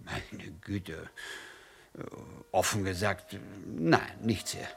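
A reserved diplomat with a low, gravelly voice who speaks in stiff, courteous phrases. His delivery rises and falls widely. Soft music sits faintly under the radio play. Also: lively intonation, takes it slowly, exaggerated voice. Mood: evasive. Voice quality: gravelly, polite and formal. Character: reserved male diplomat, formal and evasive. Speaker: diplomat, the wife's husband.

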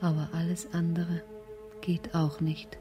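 A woman plays a detached radio-drama narrator, hushed and measured. Her mellow, fairly low voice moves slowly and evenly, with faint music behind. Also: flat delivery, dull audio, lightly acted. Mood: detached, sombre. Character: detached female narrator voicing and echoing inner thoughts.

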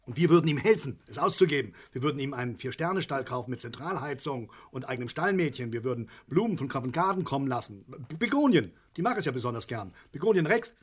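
An actor playing an excitable, scheming middle-aged father in a German satirical radio play, theatrical, rambling and insistent. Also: mid-range voice, fast, hardly any pauses, breathy edge. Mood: eager scheming. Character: excitable, scheming, stubborn father arguing over a unicorn.

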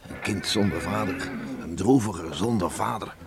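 A deep-voiced old farmer in a radio drama. He is a proud, troubled village father. His talk is folksy and halting. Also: gravelly, noisy background. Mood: troubled, hesitant. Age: older. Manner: halting, folksy, addresses son in third person. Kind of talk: radio drama acting in dialogue.

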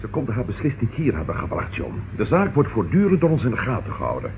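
An actor playing an alert, puzzled police detective who reasons aloud in an old radio crime drama. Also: male voice, mid-range voice, huge pitch swings, fast talker. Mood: puzzled, reasoning. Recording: tinny, noisy old radio recording.

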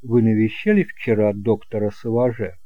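Мужчина играет строгого, официального господина, который говорит назидательно и с неодобрением, в аудиоспектакле. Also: male voice, lowish voice, steady tone, normal speed, dry recording, dull audio, natural voice. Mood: stern, reproving. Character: stern, moralizing gentleman, pressing and disapproving. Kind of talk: audiobook drama acting.

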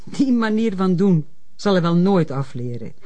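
A woman playing a middle-aged, reserved mother in a crime drama, speaking in a measured, formal way. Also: fairly low pitch, very animated, background noise. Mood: resigned, defensive. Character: reserved, formal mother of a troubled adult son. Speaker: actor in a detective drama. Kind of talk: naturalistic crime drama dialogue.

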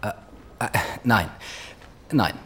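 An actor playing a dazed, absent-minded optometrist in a radio drama, hesitant and distracted. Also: male voice, slow, sharp tone.

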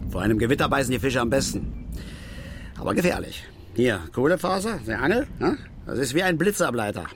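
Middle-aged angler, radio play. Opinionated, self-pitying grouch, colloquial and sardonic, mid-pitched with very animated intonation. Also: male voice, a little fast, slight background noise. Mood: brooding, matter-of-fact. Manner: grumbling, rambling, sardonic, colloquial. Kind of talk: naturalistic German radio drama acting.

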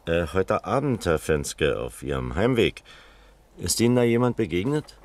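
An actor playing a composed, probing police captain, courteous and measured, in a German radio crime drama. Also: male voice, low voice, big pitch swings, darkish tone. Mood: courteous, questioning.

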